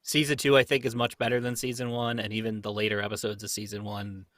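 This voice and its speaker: A man's voice: lowish voice, level tone, fast, fairly bright tone, no room sound, clean studio sound. A young adult man talking casually and enthusiastically as a fan in a group chat.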